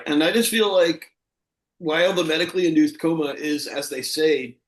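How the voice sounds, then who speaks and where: A man's voice: a little high, moderate pace. A man co-hosting a comedy show with casual, mock-earnest banter. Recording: roomy echo, faint music.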